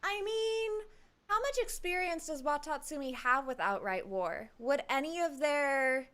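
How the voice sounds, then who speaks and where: A woman's voice: high-pitched, animated, a little slow, fairly bright tone. A woman livestreaming a game, chatting casually and playfully with her viewers. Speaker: live streamer. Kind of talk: casual livestream chat over gameplay.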